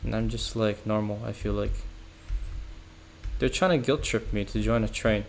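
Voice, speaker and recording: Young guy rambling on stream, gravelly fry in his voice, says like and bro a ton. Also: on the low side, fairly even intonation, moderate pace. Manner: rambling, lots of "like" and "bro", laughs often. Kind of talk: casual livestream chat reacting to chat.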